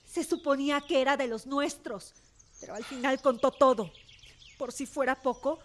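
Actress playing high-voiced, passionate young revolutionary woman. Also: fairly even intonation, moderate pace, slight background noise, close to an everyday voice. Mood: distraught, furious. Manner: earnest, dramatic. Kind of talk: radio drama acting.

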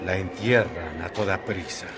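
A man's voice: deep voice, measured pace, a crowd in the background. A man giving dramatic scripted narration for a radio drama. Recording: noisy background ambience.

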